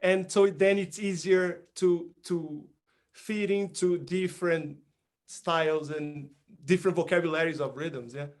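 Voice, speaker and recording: High-voiced man, halting speech. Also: fairly even intonation. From outside Brazil. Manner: halting, repeats words. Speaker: panelist percussionist. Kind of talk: panel discussion.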